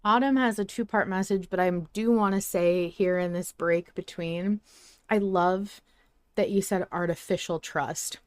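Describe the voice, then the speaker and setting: A woman's voice: medium pitch, steady tone, unhurried, no echo. Chatty woman, close dry sound. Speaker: podcast host. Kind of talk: casual chat.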